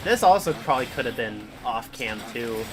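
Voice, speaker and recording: A man's voice: mid-range voice, animated, normal speed, background noise. A young man streaming a video game, rambling casually with lots of fillers and "like". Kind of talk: casual live game commentary. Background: game music.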